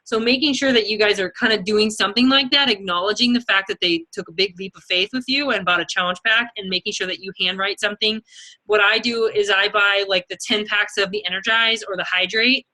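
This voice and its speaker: A woman's voice: mid-range voice, steady tone, a little fast, bright, forceful tone, rough recording. A woman leading a team as a fitness sales coach, talking casually and chattily in an informal motivational style. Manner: informal, chatty, peppered with okay and you know.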